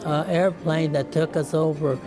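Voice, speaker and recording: Older male veteran, nineties, slightly high voice, halting recollection with chuckles. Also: steady tone, music in the background. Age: 90s. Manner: halting, many restarts, chuckles often. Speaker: war veteran. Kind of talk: casual reminiscing.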